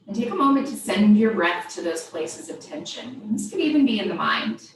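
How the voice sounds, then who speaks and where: A woman teaching yoga, guiding a body scan with gentle, invitational step-by-step cues. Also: mid-range voice. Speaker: yoga instructor. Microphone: distant microphone. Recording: very echoey room, distant mic, faint noise.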